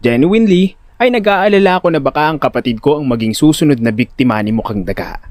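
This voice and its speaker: Animated higher-pitched man narrating a story. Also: fast, no room sound, clean studio sound. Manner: expressive, comically exasperated. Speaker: narrator and lead voice actor. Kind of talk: first-person dramatic audio story narration.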